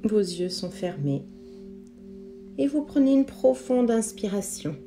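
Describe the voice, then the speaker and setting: Female yoga nidra guide, medium pitch, calm and instructive, measured phrasing, meditation music clearly underneath. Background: meditation music with singing bowl tones.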